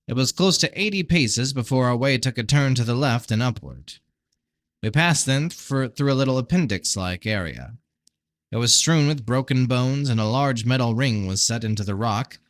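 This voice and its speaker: A man's voice: mid-range voice, no echo. Tired man reading fantasy, dry close sound. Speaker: amateur audiobook reader. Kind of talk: scripted narration of a fantasy novel.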